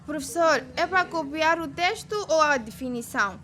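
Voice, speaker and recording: A cheeky young pupil, full of questions. The voice is very high-pitched, in radio drama style, with faint ambience behind it. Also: female voice, normal speed, pushed and bright, slight background noise. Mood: protesting.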